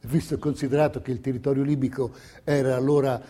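A man narrating a scripted theatre piece as a measured, pointed storyteller. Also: mid-range voice, little pitch movement, dark tone. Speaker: stage narrator.

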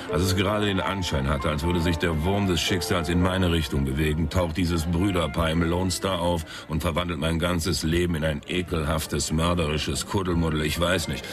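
Over clear background music comes a very deep-voiced actor playing a hard-boiled male sleuth. He talks in a laconic, deadpan way, with sardonic wit, as in noir radio crime drama. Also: moderate pace, hardly any pauses, close to an everyday voice. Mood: dry, sardonic. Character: wisecracking deadpan New York private sleuth, self-styled tough guy. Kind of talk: radio crime drama, first-person noir narration and dialogue. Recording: music under the voice.

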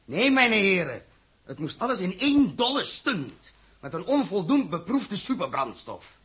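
Een acteur die in een hoorspel uit de jaren zestig een felle, verontwaardigde ruimtekapitein speelt, gepassioneerd en beschuldigend. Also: male voice, high voice, sing-song, measured pace, fairly bright tone, slightly rough, heavily acted. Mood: indignant, accusing. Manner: impassioned, accusatory, rhetorical. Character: fiery, indignant male space captain confronting the government. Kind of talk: 1960s Dutch radio drama acting.

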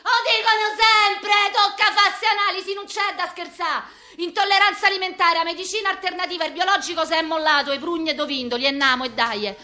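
A woman's voice: very high-pitched, steady tone, brisk, pushed and bright. An actress playing a neurotic, overwhelmed Roman street woman, rattling off staccato lists in a theatrical monologue with a Roman accent. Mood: exasperated, complaining. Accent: Roman Italian. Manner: rambling, staccato lists of short phrases.

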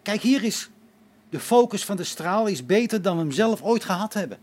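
An actor playing a sarcastic, exasperated space station engineer in a radio play, with a biting manner. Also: male voice, sits high, animated, moderate pace, a little mellow. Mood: puzzled.

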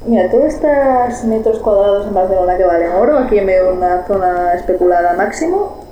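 Dark-voiced woman in an echoey space. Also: medium pitch, animated, fairly quick. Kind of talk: casual explanation of ideas. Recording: echoey space with faint background noise.

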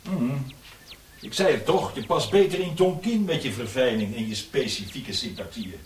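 A man's voice: medium pitch, moderate pace, natural voice. An actor in a radio drama playing a fat, red-faced colonial man who is blunt, grumbling and mocking. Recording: faint background noise and clicks.

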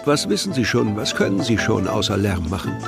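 An actor in a radio drama playing a harried, eccentric festival impresario with a theatrical manner. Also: male voice, on the low side, sing-song, a little fast, vocal fry. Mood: exasperated. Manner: theatrical, exasperated. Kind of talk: literary radio drama acting. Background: music.

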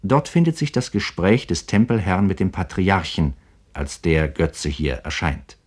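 A man narrating a scripted literary radio programme in a measured, formal manner. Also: deep voice.

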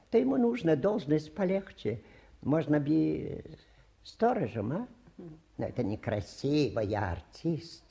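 A man's voice: fairly high pitch, creaky, dark tone, exaggerated voice. A man acting in a radio play as an old travelling fakir, theatrical and self-pitying, with wheedling, showy patter full of sighs. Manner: plaintive, showy patter with sighs and grand pronouncements.

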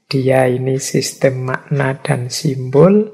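A man with a slightly hoarse voice, giving a relaxed philosophy lecture and acting out everyday reactions. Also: mid-range voice, slow.

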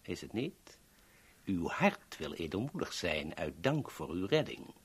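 An actor playing a courteous, coolly menacing villain in a 1970s Dutch radio drama, speaking formally and politely. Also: male voice, sits low, big pitch swings, unhurried, slightly rough, full character voice. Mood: suavely probing.

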